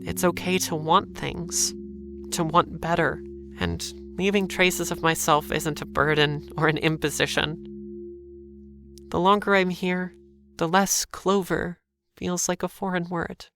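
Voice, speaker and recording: A tender, haunted young woman in a noir radio play. She speaks slowly in a slightly low voice, with a restrained, wistful manner. Underscore music is clearly heard behind her. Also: steady tone. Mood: pensive. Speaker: protagonist and narrator. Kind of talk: cinematic noir audio drama, introspective narration.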